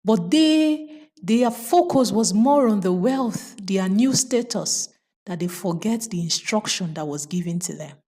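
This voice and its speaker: A woman narrates a folktale at a slow, deliberate pace. She leans on key phrases, repeats them for weight, and steps aside to give moral warnings in a dramatic storyteller's delivery. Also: medium pitch, lively intonation, warm tone. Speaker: storyteller and host.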